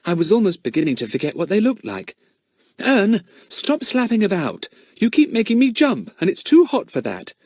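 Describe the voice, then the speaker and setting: Prim bossy girl, from a male reader, raised pitch, scolding. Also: huge pitch swings, moderate pace, slightly rough, dry recording, studio quality, heavily acted.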